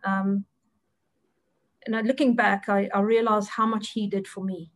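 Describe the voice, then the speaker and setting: A woman's voice: medium pitch, little pitch movement, a little slow, no room sound. A middle-aged woman from South Africa with a South African accent, an Afrikaans native speaker, answering interview questions with many ums and restarts. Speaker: interview guest, audit partner.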